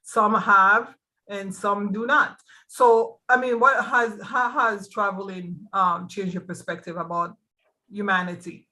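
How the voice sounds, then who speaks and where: A woman hosting a casual talk show, chatting and asking guests questions in a relaxed way with many fillers. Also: medium pitch, a little slow, poor audio. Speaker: host.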